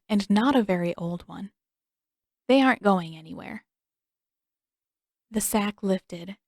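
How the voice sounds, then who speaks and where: A woman's voice: mid-range voice, dry recording, studio quality. A woman reading scripted fiction as an audiobook narrator.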